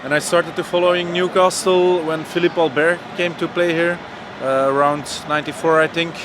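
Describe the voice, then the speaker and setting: A man from Belgium, speaking in a halting, friendly way about following football. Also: on the high side, steady tone. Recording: street noise behind.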